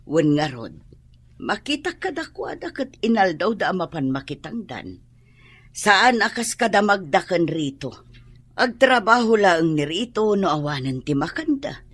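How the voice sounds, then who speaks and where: An actress playing a practical older landlady and mother in a radio drama, with a husky voice and an Ilocano accent. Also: fairly low pitch, sing-song, measured pace, dry recording, exaggerated voice. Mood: matter-of-fact.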